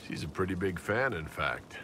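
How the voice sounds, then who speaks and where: Calm fantasy game leader, very deep, lively intonation, noisy ambience behind. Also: male voice, moderate pace. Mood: amused, casual. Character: calm, wry male military-religious leader of an inquisition. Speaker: player character in a fantasy video game. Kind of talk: cinematic game dialogue acting. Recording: background noise and ambience.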